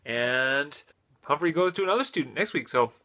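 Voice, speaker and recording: A man in his thirties talking casually to a phone camera in a hotel room, relaxed, with many filler sounds. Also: on the high side, normal speed. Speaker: vlogger and father. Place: small hotel room, indoors. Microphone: phone camera at arm's length.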